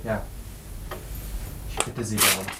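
An actor playing an anguished, weak-willed young army lieutenant in a German radio drama, pleading and repeating himself in agitation. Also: male voice, on the low side, little pitch movement, slow pace, very noisy. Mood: subdued. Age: young adult.

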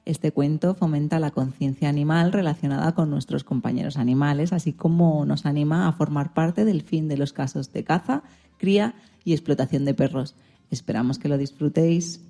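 A woman's voice: sits low, normal speed, warm tone. A woman presenting a radio programme segment from a script.

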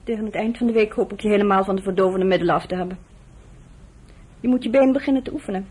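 Husky weary woman, an actress in a radio play. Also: mid-range voice, fast, a little mellow, close to an everyday voice. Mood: businesslike. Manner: reproachful, emotional. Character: weary, bitter woman nursing the man she loves. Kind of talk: radio drama acting.

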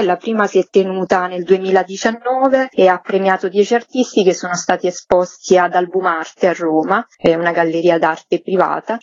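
A woman's voice: fairly low pitch, darkish tone, dry recording, lo-fi sound. A woman giving an informative radio interview as a curator, explaining things in formal, articulate phrases.

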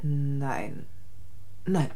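A woman playing a weary, traumatized wife in a German radio crime drama, speaking in halting, repetitive, intense phrases. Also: extremely low pitch, animated, dark tone, full character voice. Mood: bitter, resigned. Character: weary, traumatized wife who killed her tormenting husband.

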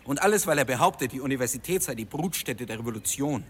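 A man's voice: medium pitch, animated, quick pace, slight background noise, close to an everyday voice. An actor playing an agitated young law student who resents his father, speaking emphatically in a German radio drama. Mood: agitated, admiring. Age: young adult.

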